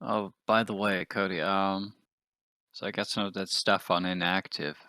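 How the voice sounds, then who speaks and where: A man's voice: sits low, little pitch movement, moderate pace, pushed and bright, clean tone, no room sound, studio quality. A man playing a tabletop roleplaying game, chatting casually about it.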